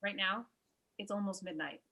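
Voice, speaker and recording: A woman's voice: mid-range voice, steady tone, normal speed, sharp tone. A woman playing a busy, sensible lawyer, dry and matter-of-fact, in a comedy table read. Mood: dry, impatient.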